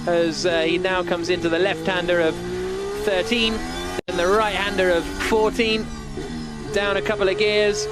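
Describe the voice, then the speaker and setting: Man, high voice, live motorsport commentary, heavy background music and engine sound.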